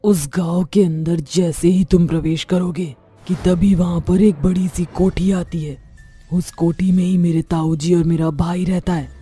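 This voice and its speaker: Woman playing a raspy, menacing witch ghost. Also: lowish voice, steady tone, measured pace, dark tone, faint music behind. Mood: commanding. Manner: menacing, declaiming. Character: vengeful female witch ghost, menacing and bitter. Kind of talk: Hindi horror cartoon voice acting.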